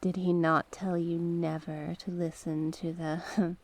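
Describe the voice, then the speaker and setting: A woman as a slow, coaxing sea witch. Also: on the low side, little pitch movement, darkish tone. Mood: coaxing, sly. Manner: coaxing, genteel, terms of endearment. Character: mysterious sea witch, coaxing, sly, courteous monstrous cave dweller. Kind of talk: ASMR roleplay.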